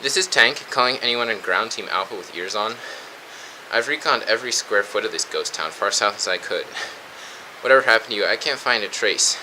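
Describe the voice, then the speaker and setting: A man's voice: on the low side, brisk. A man playing a weary, cautious soldier in a post-apocalyptic audio drama, speaking in terse military radio talk. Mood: weary, desolate. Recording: steady hiss, noisy radio-style recording.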